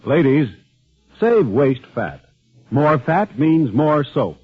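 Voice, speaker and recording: A man's voice: on the high side, big pitch swings, slow, slightly rough, soft background music. An American man reading a sponsor's commercial as a 1940s radio announcer, with an emphatic salesman's delivery. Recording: tinny, phone-like old radio broadcast.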